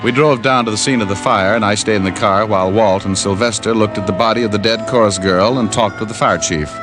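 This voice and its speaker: A man's voice: on the low side, fairly even intonation, fast talker, close to an everyday voice. An American man playing a wry, streetwise private detective in old-time radio style, narrating in clipped slang. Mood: matter-of-fact narrating. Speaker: lead actor and narrator of a detective series. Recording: vintage radio recording with music.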